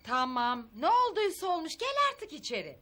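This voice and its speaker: An actress playing an exasperated, coaxing wife in a TV drama. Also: female voice, sits high, very animated, fast talker, fairly bright tone, faint music behind. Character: exasperated wife coaxing her husband indoors. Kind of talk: Turkish TV drama acting.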